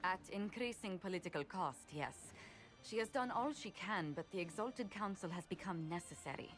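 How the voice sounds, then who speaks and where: Music plays prominently behind an actress playing a courtly female adviser in a video game. Her low voice is composed and precise, with careful, formal diplomacy. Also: normal speed, lightly acted. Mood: composed, cautioning. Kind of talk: video game cutscene acting. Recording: loud background music.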